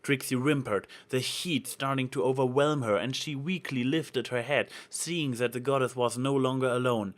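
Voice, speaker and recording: A man's voice: medium pitch, steady tone, normal speed, hardly any pauses. A man reading fan fiction aloud as a narrator, in a dramatic storytelling style.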